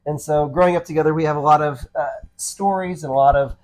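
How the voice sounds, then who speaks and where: A man's voice: fairly high pitch, steady tone, fast talker, a little mellow, rough recording. A man in his thirties co-hosting a podcast, talking in an easygoing, earnest way with frequent ums and restarts. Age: 30s. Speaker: podcast co-host, pastor. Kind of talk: casual conversational podcast chat.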